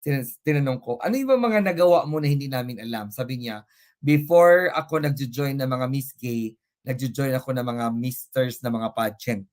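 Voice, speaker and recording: Young Filipino man as live pageant host, meandering and halting, average pitch, on a video call. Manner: rambling, hesitant, mixes English and Tagalog. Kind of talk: live online pageant interview.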